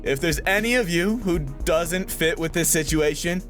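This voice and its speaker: Commanding young female student leader voiced by a man, slightly raised pitch. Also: normal speed, fairly bright tone, soft background music, close to an everyday voice.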